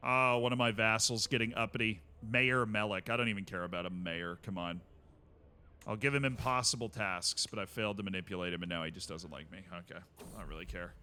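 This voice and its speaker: A man's voice: fairly low pitch, steady tone, brisk, bright, forceful tone, clear, resonant tone. A man streaming a strategy game, chatting casually with wry asides to himself.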